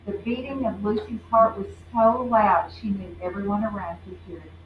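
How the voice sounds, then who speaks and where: A woman reading a picture book aloud to children in a deliberate, emphatic, teacherly way, pausing to put questions to her listeners. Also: fairly even intonation. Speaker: children's read-aloud storyteller. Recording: roomy, distant, dull low-fi with faint noise.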